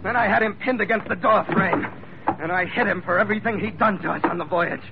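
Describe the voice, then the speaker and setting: A man's voice: high voice, fast, vocal fry, heavy background noise. An actor playing a tough, hot-tempered sea captain who narrates his own adventure in a terse, dramatic old-radio style. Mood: urgent, fierce. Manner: hard-boiled, terse, dramatic delivery. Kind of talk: 1940s-50s radio adventure drama acting with first-person narration. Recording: dull vintage radio recording, loud music behind.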